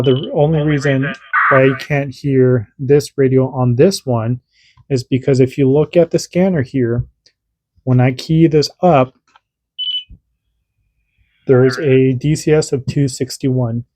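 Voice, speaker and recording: Casual male tutorial host, warm low-key tone, dry close sound, rambling explainer. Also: dry recording. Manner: chatty, repeats himself, radio checks. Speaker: radio hobby channel host.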